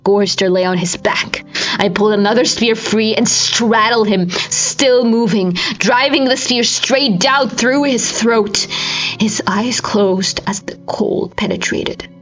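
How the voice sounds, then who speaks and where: A woman's voice: mid-range voice, dull audio. A woman giving a very dramatic, theatrical reading of a horror story. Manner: theatrical, slow, with whispers and anguished outbursts. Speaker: amateur audiobook narrator.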